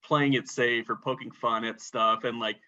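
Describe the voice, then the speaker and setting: Animated, bright-voiced man chatting about films. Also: mid-range voice, normal speed, highs cut off. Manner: animated, filler-heavy talk with lots of "like". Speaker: podcast co-host. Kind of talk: casual movie podcast chat.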